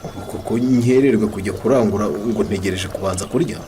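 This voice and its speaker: A man's voice: fairly low pitch, fast talker, close to an everyday voice. An actor playing a busy father of a schoolgirl in a radio drama. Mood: affectionate, hurried. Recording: noisy track with ambient sound.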